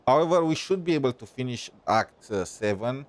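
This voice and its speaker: A man giving casual live-stream commentary on a game, halting as he thinks aloud. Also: medium pitch, expressive. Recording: stream with faint game music.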